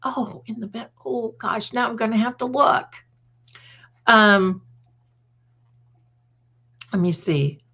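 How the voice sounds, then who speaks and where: A woman's voice: a little high, slow, creaky, pauses often, fairly bright tone, husky, dull audio. A woman hosting a casual live chat, rambling and repeating phrases, with affectionate endearments for her viewers. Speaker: livestream host and creator.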